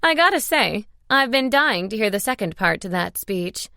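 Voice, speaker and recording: A brash older woman meddles with playful relish as a female narrator acts her out. Her voice is pushed higher and comes across bold and teasing, in very dry sound. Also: normal speed, sharp tone, smooth, no echo. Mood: gleeful, bold. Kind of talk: audiobook character dialogue.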